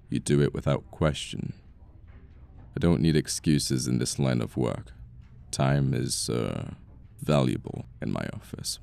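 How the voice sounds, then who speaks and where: An extremely low, creaky British male voice acted by a man for a cold young boss in an ASMR roleplay. He speaks in formal, sardonic phrases with a haughty, bitter edge. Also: a little mellow, exaggerated voice. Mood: stern, businesslike. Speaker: voice actor in an audio roleplay. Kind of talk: intimate ASMR roleplay acting.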